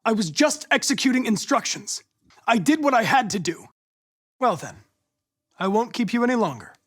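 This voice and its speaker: A polite, determined young male android investigator for a video game. His voice sits fairly high for a man and swings widely in pitch. He speaks in formal, exact, controlled phrases, set on his police mission. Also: lively intonation, moderate pace, fairly bright tone, husky, dry recording, studio quality, full character voice. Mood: earnest, formal. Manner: formal, precise, controlled diction.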